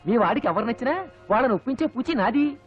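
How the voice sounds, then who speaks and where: A coaxing, ingratiating marriage broker pitches in a film comedy. The recording is a little dull, with faint music underneath. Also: male voice, lively intonation, quick pace. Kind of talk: Telugu film comedy acting.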